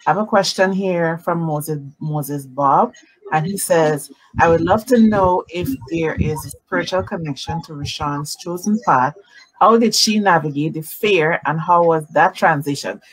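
A woman in her forties hosting a live-streamed interview from home over a video call, animated and greeting viewers by name. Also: sits low, unhurried, breathy edge, rough recording. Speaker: talk show host. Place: home room, indoors. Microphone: video call webcam.

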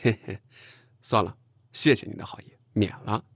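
一位男演播者在有声书里演一个倔强、说话干脆、带点嘲弄的年轻男记者。. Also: male voice, lowish voice, lively intonation, moderate pace, a bit bright, no room sound. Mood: irritated, firm. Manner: firm, wry. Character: stubborn, gruff young male newspaper reporter. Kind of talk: audiobook character dialogue.

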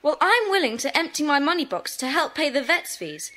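Sharp, high schoolchild. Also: female voice, very animated, fairly quick, full character voice. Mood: keen. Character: keen, helpful schoolchild. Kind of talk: children's audio drama acting.

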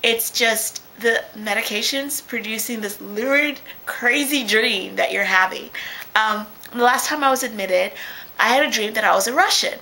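A woman's voice: on the high side, moderate pace, pushed and bright, rough recording. Chatty young woman on a webcam. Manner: chatty, laughing easily, many restarts. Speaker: vlogger. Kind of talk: casual video diary. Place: small room at home, indoors. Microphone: webcam at close range.

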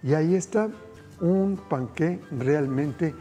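A man's voice: fairly high pitch, slow, warm tone, faint music behind. A middle-aged man hosting a cooking show, cheerfully walking viewers through a recipe. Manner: enthusiastic, addresses viewers directly. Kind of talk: cooking demonstration. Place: TV studio kitchen set.

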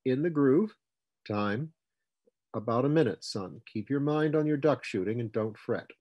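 A man voicing a feisty, sharp-tongued old grandmother aboard a spaceship in an audiobook, brisk and folksy. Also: mid-range voice, animated, husky, no room sound, lo-fi sound. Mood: dry, calm.

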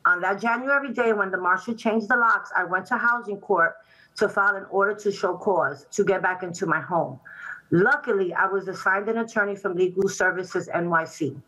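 Bright-voiced woman reading her testimony. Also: mid-range voice, normal speed, poor audio. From Puerto Rico, raised in New York City. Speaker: client testifying at a public hearing. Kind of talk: reading a prepared statement. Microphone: video call webcam.

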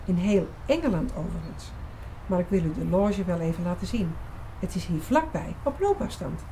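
An actress playing a friendly, curious young receptionist in a radio play, chatty and forthcoming. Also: female voice, lowish voice, animated, moderate pace, mellow, slight background noise, close to an everyday voice, low-quality recording. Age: young adult. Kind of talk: Dutch radio play acting.

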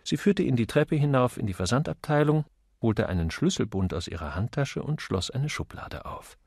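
A man narrating an audiobook as expressive, scripted literary storytelling. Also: medium pitch, moderate pace, gravelly, slightly rough, no room sound, studio quality.

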